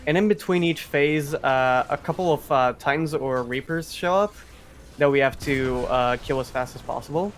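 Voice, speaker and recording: A man's voice: medium pitch, normal speed, a little hiss or hum. A man giving casual live commentary on a video game speedrun, explaining as he goes.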